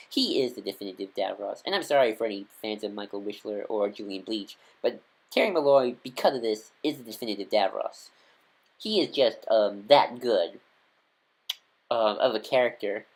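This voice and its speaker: A man chatting casually to camera as a devoted fan reviewer. He rambles with warm enthusiasm, stumbling over restarts and filling pauses with "um" and "uh". The delivery is loose and unscripted. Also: on the low side, low-quality recording.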